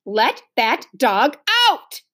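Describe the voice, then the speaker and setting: A woman's voice: a little high, big pitch swings, normal speed, no echo, studio quality. A woman voicing a stern, exasperated mother in a children's book, firm and scolding.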